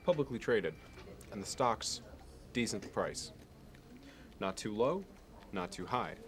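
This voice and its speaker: A man plays a meticulous, self-satisfied male Manhattan banker in an ASMR roleplay. He sounds measured and sure of himself, with fastidious attention in his delivery. A light layer of noise sits behind the voice. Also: fairly low pitch, expressive. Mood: cool, appraising. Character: smug, meticulous Manhattan investment banker from American Psycho.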